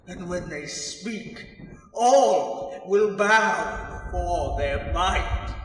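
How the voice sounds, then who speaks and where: A man's voice: sits high, fairly bright tone, exaggerated voice. A man playing a fanatical, grandiose prophet of doom in a portentous, declaimed fantasy monologue. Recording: distant echoing voice, clearly noisy background.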